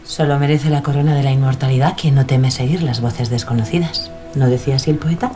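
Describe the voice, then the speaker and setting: A woman's voice: a bass voice, fairly even intonation, fast talker, dark tone, faint music behind. A woman playing a bold, stubborn, plucky young aviator in an audio drama. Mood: confident.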